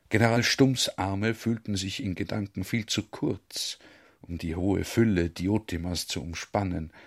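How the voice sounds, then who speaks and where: Low-voiced man narrating literary radio drama. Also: vocal fry.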